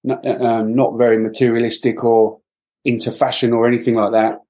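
A man's voice: medium pitch, little pitch movement, brisk, darkish tone. A middle-aged man from Wales, a podcast guest, talking reflectively with many fillers and restarts. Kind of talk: reflective interview talk.

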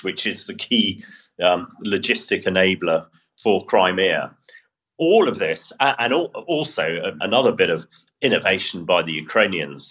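A British man with a low, bright and forceful voice gives spoken military analysis. He explains things step by step in a measured way and offers his own opinions as a podcast commentator.

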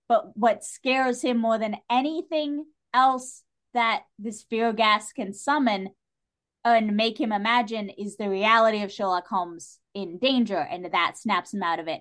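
A woman's voice: fairly high pitch, fairly bright tone. A woman in a casual, opinionated discussion as a podcast guest, speaking with emphatic enthusiasm.

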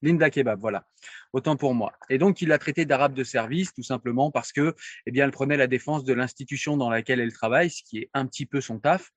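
A man's voice: mid-range voice, fast, no room sound. Hesitant man with a dry sound. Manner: hesitant, lots of filler sounds, loose phrasing. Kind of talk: casual political discussion.

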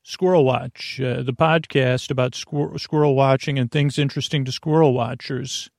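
A man with a creaky voice hosting a podcast in a meandering, halting monologue, often wandering off on tangents and interrupting himself. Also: medium pitch, steady tone, a little mellow, no echo, studio quality. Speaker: podcast host. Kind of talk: rambling bedtime podcast monologue.

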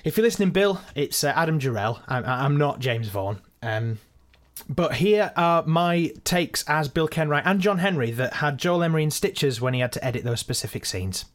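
A man's voice: a little high, animated, normal speed. A man presenting a casual, self-deprecating behind-the-scenes look with anecdotes. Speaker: actor, editor and presenter.

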